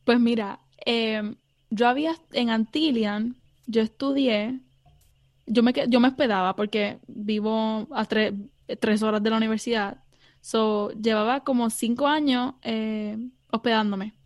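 A woman's voice: fairly high pitch, fairly even intonation, measured pace, no room sound. A young Puerto Rican woman, a theology student, answering interview questions earnestly with many fillers and some English words. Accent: Puerto Rican Spanish. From Puerto Rico.

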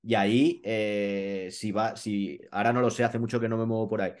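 This is a man with a somewhat low voice, talking casually on a podcast. He thinks aloud and hedges a lot, restarting sentences and slipping into street slang. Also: steady tone, normal speed. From Madrid, Spain. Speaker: podcast conversation participant. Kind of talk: casual chat.

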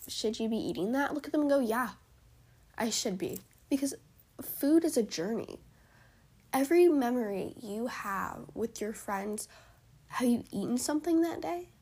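Young woman podcaster, hoarse and husky, kinda high voice, heartfelt pep talk, drops to whispers. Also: mellow. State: hoarse, voice cutting in and out. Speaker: podcast host. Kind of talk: solo motivational podcast talk.

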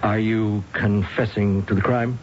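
An actor playing a patient, sceptical police lieutenant, questioning in a measured, hesitant way, in old-time radio drama style. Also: male voice, lowish voice, fairly even intonation, darkish tone, natural voice. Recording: dull lo-fi old radio recording, faint noise.

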